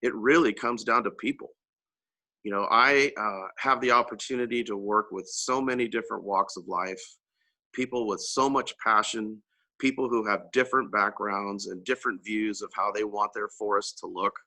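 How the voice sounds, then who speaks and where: Earnest man with a lowish, very bright voice, heartfelt panel remarks over a video call. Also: moderate pace. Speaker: panelist, public servant.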